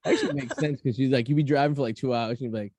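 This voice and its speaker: Mellow man in casual chat. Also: medium pitch, fairly even intonation, rapid-fire, dry recording. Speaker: podcast host.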